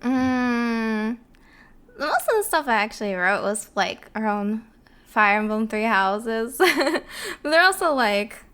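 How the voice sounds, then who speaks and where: A woman's voice: on the high side, lively intonation, slow, fairly bright tone, clear, resonant tone. A woman in a casual chat as a podcast guest, with a playful, giggly manner.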